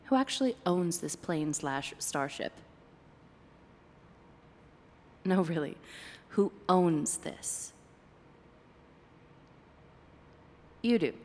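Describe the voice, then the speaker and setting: A woman's voice: lowish voice, animated, unhurried, a little mellow, in a large hall. A woman playing a flirty, playfully sarcastic date companion in a one-sided ASMR roleplay, with dry, hesitant asides. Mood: teasing, sarcastic.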